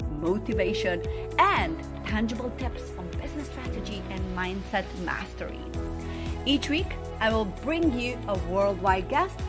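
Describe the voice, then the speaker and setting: A woman host reading a scripted, motivational podcast intro with energy. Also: mid-range voice. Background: music.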